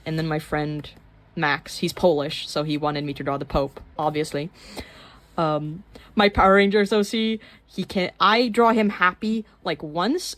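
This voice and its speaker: A woman of twenty-one chatting casually in a rambling way while showing her sketchbook, with fillers and quick laughs. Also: on the low side, no room sound. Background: soft background music.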